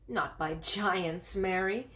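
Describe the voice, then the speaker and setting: A woman's voice: medium pitch, slow pace. A woman playing a refined, dignified queen. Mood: shocked. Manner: refined, exclaiming, later hushed. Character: dignified queen, shaken but composed.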